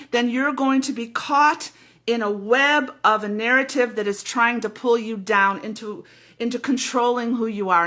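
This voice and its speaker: Woman spiritual teacher, loud, emphatic monologue, bright forceful tone. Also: on the high side. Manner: emphatic, fervent, repeats words.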